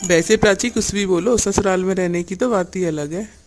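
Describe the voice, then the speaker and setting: A man's voice: high voice, moderate pace, lightly acted. A man voicing a lazy, demanding, self-satisfied young husband in a cartoon family drama. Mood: smug. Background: music.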